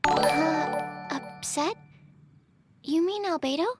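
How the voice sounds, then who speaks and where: A woman voicing a timid, earnest young female alchemist in a video game, explaining things in a gentle, hesitant way. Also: high-pitched, slow pace. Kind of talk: video game character acting. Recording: music behind the voice.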